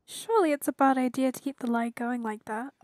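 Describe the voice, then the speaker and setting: A woman's voice: high-pitched, brisk, darkish tone, no echo. A woman giving casual, musing commentary as she plays a game, feeling her way through her thoughts. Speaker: game streamer.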